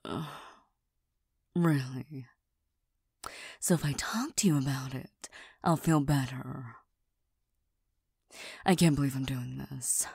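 A prickly young girlfriend who hides her tenderness, as a woman plays her in an audio roleplay. Her voice is low and very husky, and she half-whispers slowly with little stammers and shy laughs. The sound is dry and close, with no room around it. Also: a little mellow, dry recording, heavily acted. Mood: vulnerable, defensive. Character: proud, touchy young girlfriend hiding tenderness. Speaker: voice actor in a listener roleplay.

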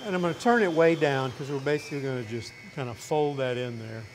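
A middle-aged man, a chef, talking through a recipe in a relaxed, chatty way in a restaurant demo kitchen. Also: medium pitch, fast talker, noisy background. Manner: relaxed, chatty step-by-step explaining. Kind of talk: cooking demonstration.

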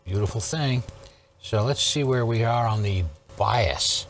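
A man's voice: medium pitch, unhurried, slight background noise. A man in his sixties giving a casual, methodical hands-on technical demonstration as host, often checking in as he explains. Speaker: host, electronics restorer. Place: indoor workshop studio at a workbench.

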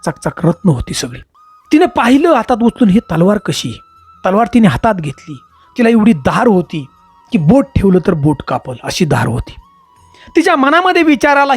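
Animated storyteller over music. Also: mid-range voice, no room sound. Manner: emphatic, repeats phrases, addresses listeners as friends. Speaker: storyteller, channel host. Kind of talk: moral storytelling narration.

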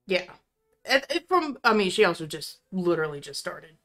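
Woman narrating roleplay over soft music. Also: fairly low pitch, very animated, normal speed. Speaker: game player and narrator. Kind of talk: improvised tabletop roleplay narration.

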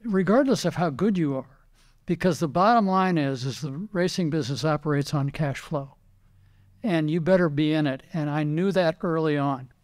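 Older man, American accent, fairly high voice, casual interview reminiscing, anecdotal storyteller, quotes old conversations. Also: moderate pace, a little mellow. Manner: anecdotal storytelling, acting out remembered dialogue. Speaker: retired racing driver and team owner, interview guest.

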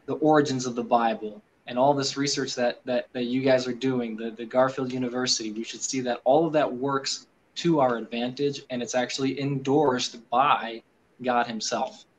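A man's voice: mid-range voice, fairly even intonation, a little hiss or hum, poor audio. Earnest man explaining on a video call. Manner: earnest, explanatory, quick with many fillers. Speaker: guest on a live video call. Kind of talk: casual discussion.

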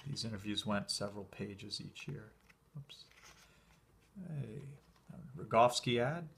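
A man casually talking through an old convention program as he leafs through it, relaxed and enthusiastic, with small fillers and pauses, recorded indoors by a camera filming his hands from above. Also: lowish voice, gravelly, husky. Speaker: collector showing a convention program. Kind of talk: casual flip-through commentary.

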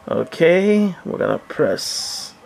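A man giving a casual, halting product demonstration as a seller, often repeating himself, recorded indoors on a handheld camera. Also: on the high side, very animated, gravelly, noisy background. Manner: halting, repetitive, plain-spoken. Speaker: online seller demonstrating an item. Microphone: handheld camera, speaker off screen behind it.

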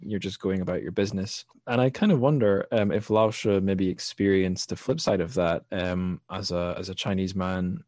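A man with a low voice hosts a literary discussion, recorded close and dry. He speaks casually, feeling his way through long, discursive thoughts. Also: fairly even intonation, moderate pace, hardly any pauses, darkish tone, no room sound. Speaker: podcast host.

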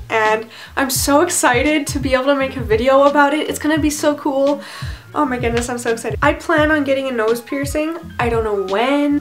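Teen girl vlogger, high-ish voice, rambling bedroom chat. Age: teens. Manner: chatty, rambling, self-deprecating. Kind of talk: casual chat to camera. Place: bedroom, indoors.

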